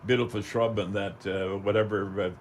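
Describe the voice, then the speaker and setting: A man's voice: lowish voice, level tone, normal speed, slight background noise. Folksy older Canadian man hosting radio. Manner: folksy, rambling, says "eh" often. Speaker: radio show host. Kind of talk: casual talk radio hosting.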